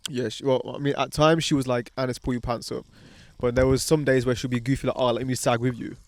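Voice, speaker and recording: A man hosting a podcast among friends at an outdoor barbecue. His voice sits at an ordinary middle pitch. He talks in a loose, slangy, laid-back way and keeps calling his friends bro. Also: flat delivery, fast. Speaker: podcast host. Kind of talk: casual chat.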